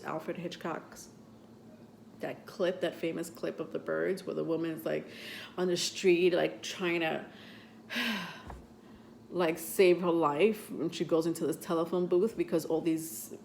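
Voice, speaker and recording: A woman on the lower side of the usual pitch range, her voice a little stuffy because she is getting over a cold. She hosts a tarot vlog and talks in a rambling, chatty way with plenty of fillers. A faint hiss of noise sits under her voice. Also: steady tone, a little slow, creaky, a little mellow. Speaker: tarot reader and channel host. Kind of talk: casual vlog talk to camera.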